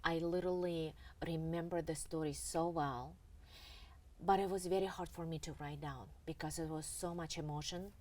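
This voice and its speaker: A middle-aged woman from Russia, an author telling family stories in an interview in a halting, earnest way with many pauses. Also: low-pitched, fairly even intonation, a little mellow. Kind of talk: reflective storytelling in an interview. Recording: distant mic with faint background noise.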